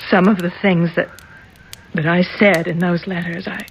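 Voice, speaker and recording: A woman's voice: lowish voice, fairly even intonation, moderate pace, natural voice, low-quality recording. An actress playing an anxious, ashamed young woman in a 1970s radio drama, hesitant and earnest. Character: anxious, ashamed young senator's daughter, pleading. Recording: old radio broadcast, faint noise.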